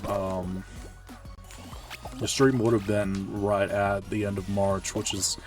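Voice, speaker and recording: Man, low flat voice, slightly hoarse and tired, rambling gaming chat, a bit off-mic with game music behind. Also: takes it slowly, distant mic. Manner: rambling, self-correcting, low-key. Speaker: Twitch streamer. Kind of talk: casual live-stream gaming chat.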